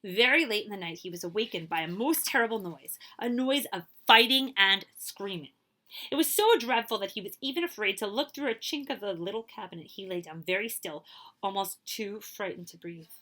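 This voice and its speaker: A woman reading a children's story aloud as a librarian would, expressively and with suspense, dropping to a hush and stretching out key phrases. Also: medium pitch, lively intonation, brisk.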